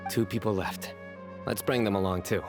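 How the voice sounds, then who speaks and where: An actor playing a composed, dryly wry young man in a video game, measured and matter-of-fact. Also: lowish voice, music in the background, natural voice. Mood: calm.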